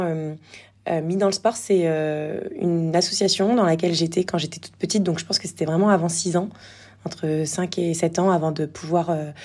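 A woman in her twenties from Normandy, answering casually in an interview with hesitant, thoughtful pauses. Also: fairly low pitch, dark tone. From Normandy, France. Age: 20s. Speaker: podcast guest, former athlete and entrepreneur.

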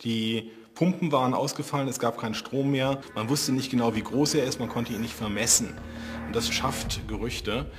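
A man with a medium-pitched voice explains things as an expert in a documentary interview. His voice carries a long reverb, and faint music plays behind it. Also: steady tone, moderate pace. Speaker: expert commentator. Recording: long reverberation of a large space.

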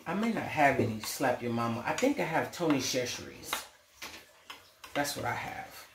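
A woman's voice: extremely low pitch, expressive, moderate pace. A middle-aged American woman with a folksy, playful manner, casually demonstrating home cooking as the host. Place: indoors in a home kitchen. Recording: roomy, clearly noisy home recording with clicks.

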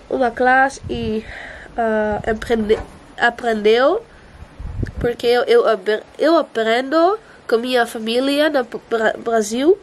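A young woman whose first language is Dutch chats slowly on a handheld camera, pausing often as she hunts for words. Her voice sits a little high. Faint outdoor ambience hums behind her. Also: slight background noise, poor audio. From Netherlands. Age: young adult. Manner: halting, searching for words, slips into English. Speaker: vlogger. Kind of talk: casual travel vlog. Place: outdoors and indoors, apartment and streets.

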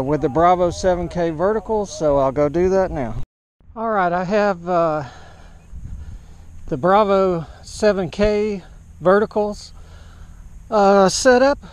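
An older man giving a casual, unscripted report outdoors into an action camera, speaking in a halting, hesitant way. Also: high-pitched, a little mellow. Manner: slow, halting, hesitant delivery with throat clearing. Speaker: amateur radio operator vlogging. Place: open grassy field outdoors, under a canopy. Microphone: action camera at a short distance. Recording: outdoor camera audio with ambient noise.

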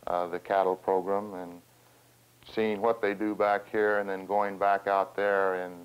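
An American man in his thirties giving a formal interview in a measured, halting, roundabout way. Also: deep voice, level tone, clean tone. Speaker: farm organization representative and cattle rancher. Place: small wood-panelled room, seated at a table. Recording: dull 16mm film soundtrack.

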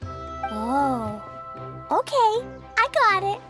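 A very high-voiced little girl adventurer from a video game. She is innocent and eager, bouncing through her notes in a childlike way, with music playing behind. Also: sing-song, drawn-out words, full character voice. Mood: innocent, curious. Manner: eager, exclaiming.

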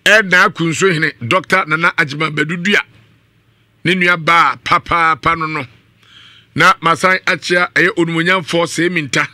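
A Ghanaian man hosts a radio talk show in middle age. His voice is very bright and forceful, a little high for a man, and recorded dry and close on a studio mic. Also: steady tone, normal speed, no echo. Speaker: radio host. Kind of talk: live radio talk show hosting with ad-libbed sponsor reads. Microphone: studio condenser mic on boom arm, up close.

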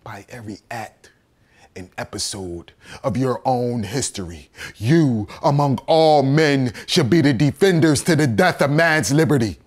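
A male actor performing a theatrical monologue as a bitter, righteous condemned rebel, in an accusatory, oratorical manner that swings between hushed and thundering. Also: mid-range voice, lightly acted.

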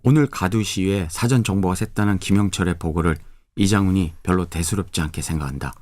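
Deep-voiced male drama narrator. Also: no echo. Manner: measured, even storytelling. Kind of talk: scripted audio drama narration.

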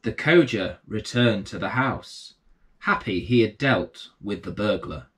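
Slow, lively man reading a bedtime story. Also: fairly low pitch. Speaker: children's bedtime story reader. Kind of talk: storybook reading for young children. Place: small book-lined room indoors.

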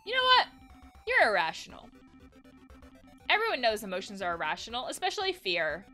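A woman's voice: fairly high pitch, huge pitch swings, a little fast, bright, forceful tone, soft background music, heavily acted. A woman voicing a stressed, angry young human from a game, exasperated and emphatic. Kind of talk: game dialogue read aloud.

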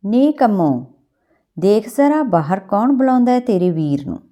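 A woman storyteller acts out a village mother from a Punjabi storybook, wistful and homely. Her voice is soft and mellow, with a Punjabi accent and a plaintive turn. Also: medium pitch, very animated, moderate pace, close to an everyday voice. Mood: calling out.

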